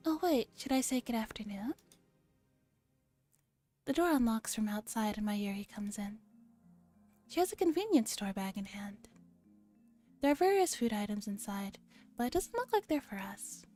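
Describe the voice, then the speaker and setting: A woman streamer softly reading a visual novel aloud in an ASMR style, with gentle, casual asides to her chat. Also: medium pitch, very animated, normal speed. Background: music.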